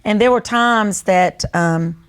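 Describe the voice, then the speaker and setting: A woman's voice: medium pitch, slow pace, clean tone. Southern American woman reminiscing casually. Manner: folksy storytelling, acts out remembered family dialogue. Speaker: host.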